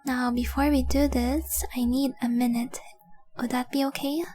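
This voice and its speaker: A woman voicing an adoring, possessive dragon-blooded girlfriend in an intimate audio roleplay, tender and breathy, often slipping into a whisper. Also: high-pitched, flat delivery, moderate pace, mellow, faint music behind. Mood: earnest, warm. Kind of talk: intimate romantic audio roleplay, addressed to the listener.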